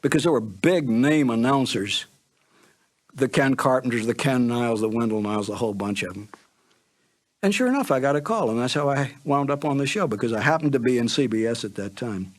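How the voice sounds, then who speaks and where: Older man, American accent, medium pitch, reminiscing, anecdotal storyteller, former announcer. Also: lively intonation, brisk.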